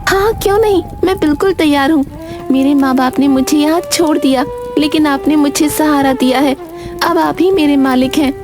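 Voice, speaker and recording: Woman putting on a very high forsaken little girl. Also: moderate pace, over music, natural voice. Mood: eager, devoted. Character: sad, forsaken young girl, eager to please.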